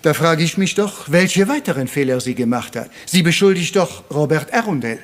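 A man acts a little Belgian detective with a fairly high voice. He is formal and courteous, dropping French interjections as he patiently probes with questions. The style is radio crime drama. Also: animated, moderate pace, close to an everyday voice. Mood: probing.

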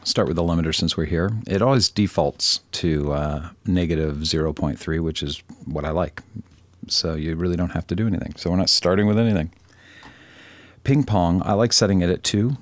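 A man presenting a casual screen-recorded software tutorial, thinking aloud in an informal, self-deprecating way. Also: low voice, moderate pace, no echo.

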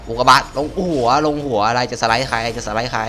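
A man's voice: mid-range voice, fairly even intonation, fast talker, over music. A man giving casual, slangy, exclamatory gameplay commentary, often talking to himself as he plays. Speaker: gaming video host playing through a game.

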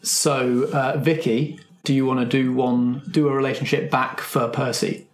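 A man's voice: mid-range voice, level tone, normal speed. A man casually hosting a roleplaying podcast and explaining the game to his players at a table. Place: indoor room, group around a table. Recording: roomy, echoing group table recording.

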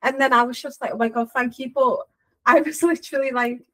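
Young woman, bright high voice, eager anecdote-teller on a cosy podcast. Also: fairly quick. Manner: excitable storyteller, acts out quotes. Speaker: podcast co-host. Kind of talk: casual chat. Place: small wood-panelled room, on a sofa. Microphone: desk mic on boom arm.

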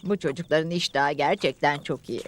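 Proper, stubborn cartoon housewife. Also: female voice, sits low, moderate pace. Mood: softened, grateful. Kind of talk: cartoon dubbing.

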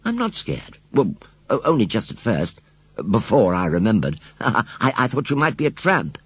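A man's voice: on the low side, sing-song, normal speed, slightly rough, no echo. A man voicing a polite, earnest little country girl who is easily flustered and speaks hesitantly, in a storybook reading. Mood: timid, polite.

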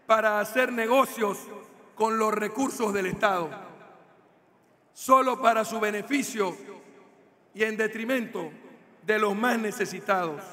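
Slow, emphatic man at a rally. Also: extremely high pitch, fairly bright tone. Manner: slow, emphatic delivery with repeated phrases. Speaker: political speaker. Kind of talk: political rally speech. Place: large indoor stage at a rally. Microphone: podium microphones. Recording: reverberant hall.

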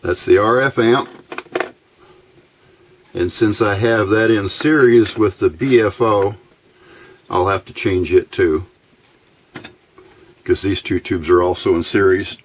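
A man giving a casual, unscripted demonstration at a workbench, halting and thinking aloud as he goes. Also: lowish voice, a little hiss or hum. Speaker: hobbyist presenter. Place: indoors at a workbench.

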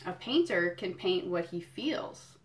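A woman doing a children's storytime, reading a picture book in deliberate phrases and explaining things simply to young listeners. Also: on the low side, expressive. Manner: addresses young listeners directly with questions and encouragement. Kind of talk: children's storytime read-aloud with friendly asides.

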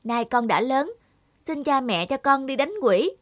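A woman voicing a brave, determined young boy hero in an animated folk tale, speaking in firm, earnest declarations. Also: sits high, measured pace. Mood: earnest, resolute.

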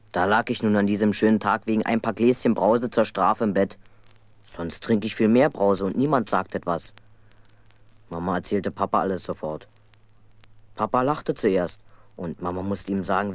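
A man's voice: lowish voice, normal speed, no echo, close to an everyday voice. A man narrating a children's radio play as a mischievous, aggrieved schoolboy telling his own story. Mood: wry, aggrieved.

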